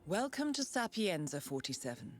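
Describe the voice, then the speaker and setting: A woman's voice: fairly low pitch, very animated, normal speed. A woman playing a composed mission handler who guides an assassin in a video game. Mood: composed, instructive. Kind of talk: scripted video game briefing. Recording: loud music behind. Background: game music.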